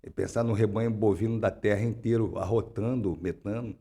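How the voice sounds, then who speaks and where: A man talks through an interview in a loose, rambling way, often restarting and tagging his sentences with questions. His voice is dark and husky. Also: on the low side, fairly even intonation, fairly quick. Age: 60s. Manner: rambling, frequent "né?" tags and restarts. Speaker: interview guest. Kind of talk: conversational interview.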